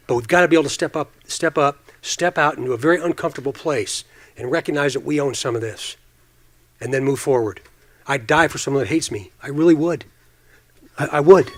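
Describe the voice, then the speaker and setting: Earnest, emphatic man in his fifties. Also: on the high side. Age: 50s. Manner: earnest, emphatic, repeats phrases for stress. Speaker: state police official on a forum panel. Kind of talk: impassioned forum speech.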